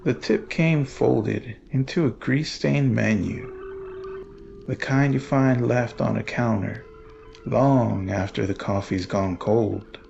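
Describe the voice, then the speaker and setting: A man playing a brooding, world-weary paranormal investigator in somber, measured first-person horror narration. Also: medium pitch, big pitch swings, creaky, darkish tone, full character voice. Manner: somber, measured, literary storytelling. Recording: dull audio, faint noise.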